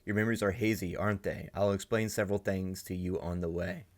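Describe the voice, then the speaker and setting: A young man giving casual reaction commentary with a slightly low voice. He rambles and circles back as he thinks aloud, piling up fillers. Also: steady tone, a little fast, a little mellow. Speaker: reaction channel host.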